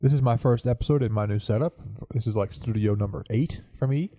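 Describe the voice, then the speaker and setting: Congested, mellow man hosting a podcast. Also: mid-range voice, fairly quick, no echo. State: congested from a cold. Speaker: podcast host. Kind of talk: casual chat and anecdote storytelling. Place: living room corner home studio.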